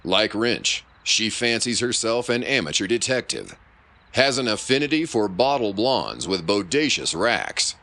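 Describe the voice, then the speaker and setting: An actor playing a pompous, mock-heroic announcer narrating a pulp detective serial. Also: male voice, on the low side, big pitch swings, unhurried, bright, forceful tone, exaggerated voice. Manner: pompous, dramatic. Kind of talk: scripted dramatic narration. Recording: music bed under the voice. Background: guitar music.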